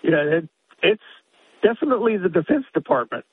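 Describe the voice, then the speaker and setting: A man's voice: high-pitched, sing-song, a little fast, husky, phone-quality sound. An American man in his sixties calling a radio show by phone, explaining his point insistently and off the cuff. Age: 60s. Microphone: telephone.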